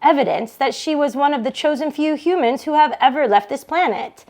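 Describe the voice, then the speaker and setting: A woman with a fairly high voice reads a novel aloud as a read-along host. Her delivery is expressive, shaped for listeners following the story online. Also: a little fast. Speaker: book club read-along host for a bookstore.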